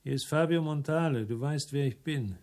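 An actor playing a tough, defiant investigator in a radio crime drama, curt, commanding and unflinching. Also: male voice, on the high side, moderate pace, darkish tone, close to an everyday voice. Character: tough, defiant male investigator confronting gangsters.

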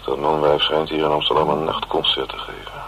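A gruff investigator with a very deep, gravelly voice, dictating to his secretary. He is wry and grumbling in a colloquial way, on a slightly noisy radio drama recording. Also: little pitch movement, brisk, fairly bright tone. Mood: teasing, boastful.

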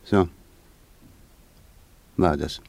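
A man's voice: extremely slow, a little mellow. A man acting in a radio play as a brusque, scornful man who despises sentimentality, firing curt, probing questions. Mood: curt, irritated.

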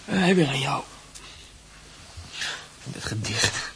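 An actor playing a lovesick, proud and touchy schoolboy in a radio drama, with an emotional, argumentative manner. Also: male voice, mid-range voice, expressive, slow, vocal fry, pushed and bright, exaggerated voice. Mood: brooding, confiding. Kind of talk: Dutch radio drama acting. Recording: noisy radio play recording with ambience.

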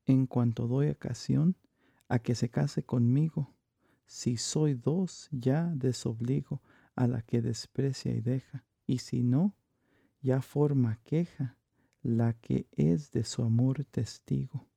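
A man performing a theatrical verse monologue as a jealous, lovestruck noblewoman from classical comedy, in measured, declamatory phrases. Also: medium pitch, fairly even intonation, gravelly, warm tone, slightly rough, no echo, studio quality, full character voice. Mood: anguished, resolute.

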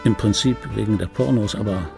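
An actor playing a disgruntled, wry middle-aged dubbing artist who tells his life in a rough voice, as a conversational radio play monologue. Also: lowish voice, fairly quick, over music. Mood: sardonic reminiscing. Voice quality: rough, gravelly. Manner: sardonic, conversational storytelling. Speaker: narrator and lead of a radio play.

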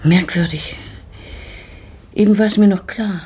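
An actress playing a grieving, brooding widowed lady of the house in a German radio play from the 1960s, halting and searching, often dropping into whispers. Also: medium pitch, dark tone, breathy edge. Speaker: Donna Francisca, mistress of the house.

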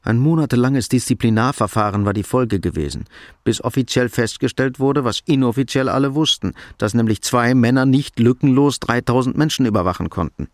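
A man narrating a radio drama in a sober, measured, literary storytelling style. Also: medium pitch, no room sound, studio quality.

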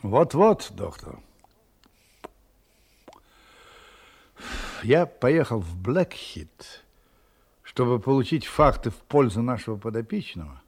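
A shrewd detective of radio drama, his voice mellow and a little husky. His delivery swings freely in pitch, with polite, probing questions and wry asides. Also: big pitch swings, measured pace, heavily acted. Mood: troubled, brooding. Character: brisk, shrewd male detective, troubled yet ironically polite. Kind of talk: Russian audio drama acting.

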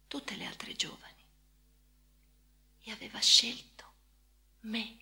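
An actress playing a haunted, obsessive woman in an intimate radio drama monologue, breathy and often whispering, with slow, halting, intense phrasing. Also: on the high side, bright, forceful tone, full character voice. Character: haunted, obsessive female amputee confiding in her chosen successor. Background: music.

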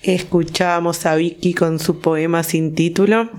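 A woman's voice: fairly low pitch, steady tone, unhurried, darkish tone. A woman hosting a community radio program, chatting expressively about writing and introducing readers. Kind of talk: casual radio chat.

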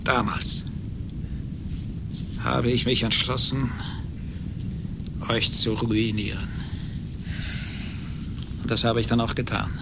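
Vengeful obsessed genius, noisy recording. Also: male voice, mid-range voice, fairly even intonation, gravelly. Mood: hushed, hateful. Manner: halting, theatrical, repeats words for emphasis. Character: vengeful, obsessed male genius inventor, embittered and gloating. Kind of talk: radio play acting.

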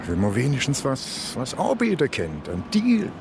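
An actor playing a blunt, grumbling police detective in a radio drama. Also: male voice, medium pitch, huge pitch swings, moderate pace, background noise. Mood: grumbling, worried. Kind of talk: colloquial radio drama acting. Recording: music behind the voice.

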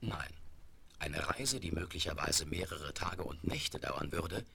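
A male storyteller with an extremely deep, creaky voice narrates a children's radio play. His delivery is scripted and lively in melody. It comes from a crackly seventies vinyl record. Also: measured pace, heavily acted. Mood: measured storytelling.

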